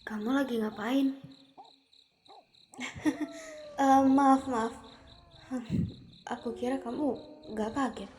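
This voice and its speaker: A woman voicing a grieving young female vampire in an ASMR roleplay, who talks softly and hesitantly and stammers when flustered. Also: a little high, a little hiss or hum.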